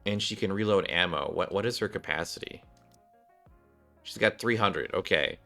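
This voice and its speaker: A man's voice: low voice, moderate pace, vocal fry, pushed and bright, faint music behind. A man doing casual game commentary, thinking aloud in a rambling way, with a slightly worn voice. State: voice slightly off, recovering. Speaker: gaming streamer, host.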